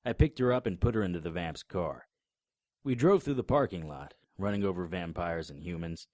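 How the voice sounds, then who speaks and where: Man, deep voice, first-person radio drama narration, wry, dry close recording. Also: lively intonation, brisk, darkish tone, dry recording. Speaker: narrator and lead actor.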